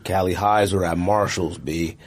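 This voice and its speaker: A man's voice: deep voice, steady tone, moderate pace, husky. A man co-hosting a sneaker podcast, talking in casual, slangy banter with a teasing manner.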